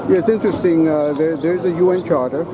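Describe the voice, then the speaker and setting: A man interviewing marchers outdoors, talking in an opinionated, halting way with many fillers. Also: a little high, a loud crowd. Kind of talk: casual street interview. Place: outdoors on a city street. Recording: loud street noise.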